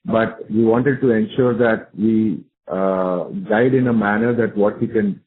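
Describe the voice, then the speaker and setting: An Indian-accented man with a fairly low voice answers questions as a company executive on a conference call. He sounds assured and repeats his points for emphasis. The sound is narrow and tinny, like a telephone line. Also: fairly even intonation, a little slow.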